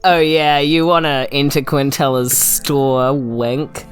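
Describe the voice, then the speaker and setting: A woman's voice: extremely low pitch, steady tone, slow pace, faint music behind. A woman with a New Zealand accent, joking casually as a player in a tabletop game.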